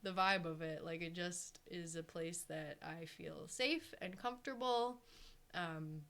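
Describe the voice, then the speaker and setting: Woman co-host, slightly low voice, chatty and rambling, animated storyteller. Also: unhurried. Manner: rambling, animated, acts out what others said. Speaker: podcast co-host. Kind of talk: casual chat.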